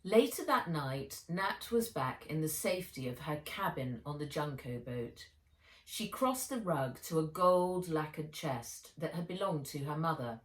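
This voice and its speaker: A woman reading her own children's novel aloud as narrator, in measured, expressive storytelling. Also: low-pitched. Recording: roomy echo, distant microphone.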